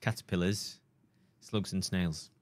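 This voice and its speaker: Low-voiced rambling man. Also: steady tone, a little slow, a little mellow, dry recording. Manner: rambling, hesitant storytelling. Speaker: podcast co-host. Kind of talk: casual banter.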